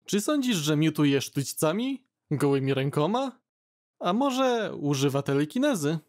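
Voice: medium pitch, very animated, a little slow. A narrator reading out fan questions in a plain, questioning tone. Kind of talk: fan comic dub.

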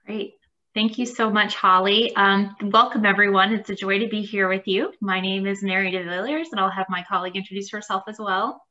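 A woman's voice: mid-range voice, fairly even intonation, a little fast, pushed and bright. A middle-aged woman, an admissions director, presenting in a webinar over a video call, explaining things with frequent ums. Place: home room on a video call. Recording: dull lo-fi video call audio.

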